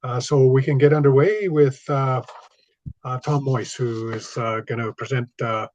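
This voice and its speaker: Male webinar host, relaxed and filler-heavy, dull muffled call sound, dry. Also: medium pitch, moderate pace, dry recording. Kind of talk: introducing a speaker.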